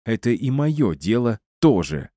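A man voicing an impulsive, hot-headed son in an audiobook drama, speaking forcefully. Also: mid-range voice, sing-song, a little slow, no echo, studio quality, pitched above the performer's own voice. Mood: defiant.

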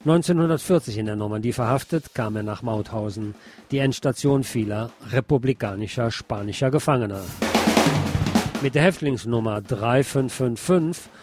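A man's voice: mid-range voice, moderate pace, music in the background. A man presenting on radio, reading scripted local news and commentary.

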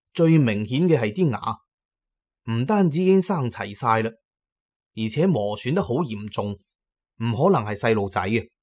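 A man's voice: fairly low pitch, a little mellow. A man with a Hong Kong Cantonese accent narrating an adventure story in the first person, as in an audio drama.

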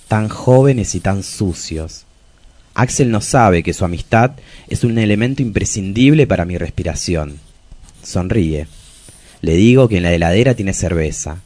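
Deep-voiced, lovelorn Argentine diarist. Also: male voice, mellow, no room sound. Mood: yearning, bitter. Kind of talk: literary monologue in a radio play.

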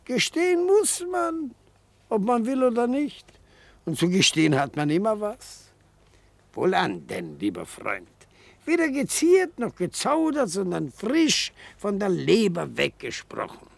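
An actor playing a genial, slyly coaxing old retired defence lawyer in a German radio play, with pompous, florid, old-fashioned phrasing. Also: male voice, sits high, huge pitch swings, unhurried, gravelly, dry recording, exaggerated voice. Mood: exuberant. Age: older.

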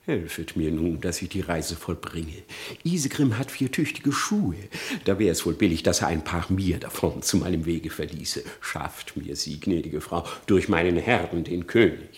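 An actor playing a sly, hypocritical fox in a classic radio play, speaking verse in a smooth-tongued, mock-polite way. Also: male voice, low voice, normal speed, close to an everyday voice. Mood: wheedling. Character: sly, hypocritical, mocking male fox in pilgrim guise.

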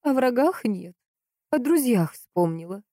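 Female narrator as distraught, hot-tempered sister in crime audiobook: raised pitch, emphatic, impatient, dry sound. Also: expressive, warm tone, no echo, natural voice. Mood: insistent, bitter. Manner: emphatic, impatient, drawn-out stresses.

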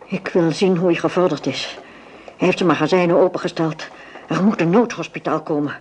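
Actress as a stern, weary old matriarch. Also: female voice, on the low side, fairly even intonation, brisk, a little mellow, a little hiss or hum, close to an everyday voice. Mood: grave, bitter. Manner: grave, curt, world-weary. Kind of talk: radio play acting.

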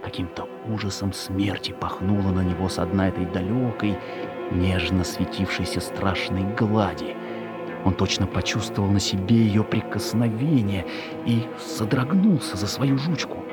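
A man narrating a children's story in an expressive, measured, suspenseful dramatic reading. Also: low-pitched, over loud music.

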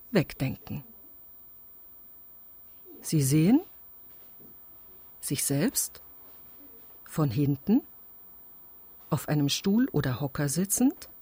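A performer in a radio play as a calm instructor guiding listeners through an imagination exercise, measured and deliberate. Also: mid-range voice, breathy edge, no room sound. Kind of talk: slow, scripted instructional radio-play narration.

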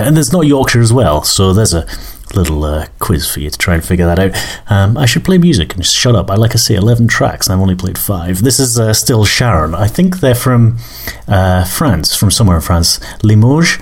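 A man's voice: lowish voice, sing-song, moderate pace, husky. A middle-aged man with a mixed northern English and Scottish accent, hosting a podcast and rambling hesitantly between music tracks, with many fillers and restarts. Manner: hesitant, full of fillers and restarts, self-deprecating. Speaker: podcast host.

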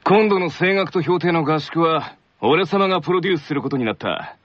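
A man playing an arrogant, bossy teenage tennis captain in a radio skit, with rough, commanding speech. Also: on the high side, animated, fairly quick, no echo, dull audio. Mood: haughty.

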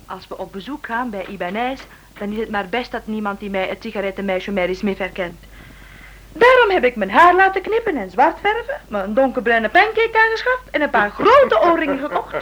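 An actress playing a lively, affectionate detective's wife in a radio drama, expressive and coaxing. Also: female voice, fairly high pitch, fairly quick, slight background noise. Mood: playful, pleased.

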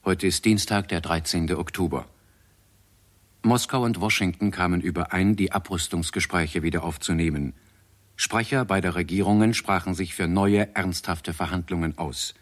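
Radio drama news announcer: low-pitched, formal, impassive bulletin delivery. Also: male voice, normal speed. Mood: neutral. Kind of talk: 1960s radio news reading.

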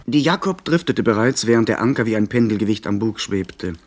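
A man plays a young gentleman looking back on a seafaring adventure in a 1970s radio play. His voice sits on the low side, with measured, literary delivery. A faint layer of noise runs behind it. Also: lively intonation, lightly acted. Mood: absorbed, suspenseful. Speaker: narrator and lead character. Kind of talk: scripted radio drama narration.

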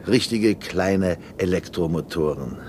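An actor playing a wistful older deputy in a 1970s German science-fiction radio play, musing hesitantly with a touch of irony. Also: male voice, measured pace, a little mellow. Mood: wistful wonder. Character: wistful, wondering older male deputy with stalled career. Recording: noisy 1970s radio drama recording, rumble.

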